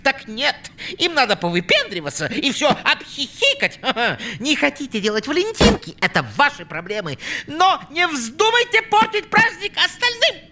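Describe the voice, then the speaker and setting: A woman voicing a bossy, sentimental housekeeping owl in a cartoon, expressive and scolding in a singsong way. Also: high voice, heavily acted. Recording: loud music behind the voice.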